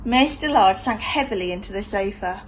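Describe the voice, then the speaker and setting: A woman's voice: mid-range voice, lively intonation, normal speed, a little hiss or hum. A woman reading a children's storybook expressively, in the style of a primary school teacher.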